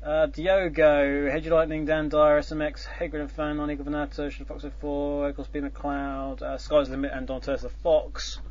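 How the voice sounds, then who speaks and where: A man's voice: on the high side, little pitch movement, normal speed, hardly any pauses, a little mellow. A man hosting a casual chat, explaining a contest's scoring in a rambling way with many fillers and restarts. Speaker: host. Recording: dull lo-fi sound.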